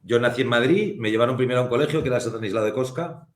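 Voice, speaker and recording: A man's voice: medium pitch, echoey room. A man from Spain, a film producer, talking reflectively and unhurriedly as a guest in a casual interview. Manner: thoughtful, reflective, unhurried with frequent pauses.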